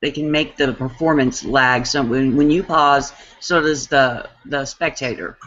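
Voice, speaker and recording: A woman's voice: rumbling low, measured pace, fairly bright tone, highs cut off. A middle-aged woman interviewing a guest over a video call, chatting and reading out viewers' questions. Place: room at home, indoors. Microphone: webcam on a video call.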